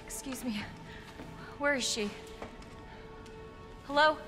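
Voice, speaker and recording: A woman's voice: high-pitched, slow, faint music behind. A young woman playing a determined, protective survivor in a video game, urgent and full of anxious questions. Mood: urgent worry. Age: young adult. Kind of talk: video game cutscene acting.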